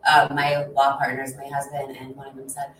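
A woman's voice: very deep voice, monotone, fairly quick, slight background noise. A woman in her thirties chatting casually, with plenty of filler words. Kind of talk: casual chat. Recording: echoey room, mic at a distance.

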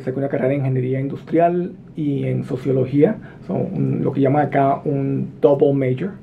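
Gravelly man on a phone line. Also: mid-range voice. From Venezuela. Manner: friendly, relaxed storytelling with frequent fillers. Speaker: interview guest, business executive. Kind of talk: conversational interview answers. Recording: muffled phone line with faint noise.

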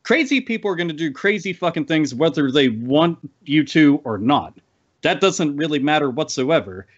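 Emphatic sweary man ranting, slightly high-pitched. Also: animated, moderate pace, highs cut off. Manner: emphatic, wordy, piles up clauses, swears freely. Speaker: podcast panelist. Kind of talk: opinionated casual rant.

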